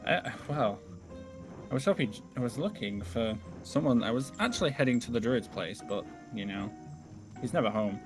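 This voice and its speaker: A man with a medium-pitched voice chats through an improvised game roleplay. He sounds low-key and hesitant as he feels out his words. Music is clearly audible underneath. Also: lively intonation, moderate pace. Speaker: guest roleplayer in gaming video. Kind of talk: casual improvised Minecraft roleplay chat.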